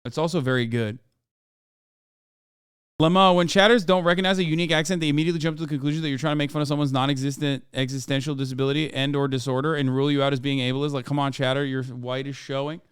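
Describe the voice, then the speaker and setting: Man, streamer, smooth clear voice, dry close sound, casual swearing, exclamatory banter. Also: medium pitch, steady tone, fast, dry recording. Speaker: streamer host. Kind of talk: live reaction commentary, casual and profane.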